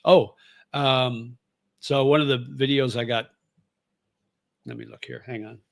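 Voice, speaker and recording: A man's voice: medium pitch, no echo. Middle-aged man, ex-radio host, casual livestream chat, rambling and easygoing, dry close sound. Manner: rambling, easygoing, frequent fillers and chuckles. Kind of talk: casual live chat reading viewer comments.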